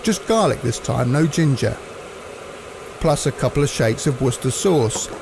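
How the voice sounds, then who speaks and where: A man, narrating cooking instructions in a measured, explanatory way. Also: mid-range voice, vocal fry, noisy background. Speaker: cooking presenter.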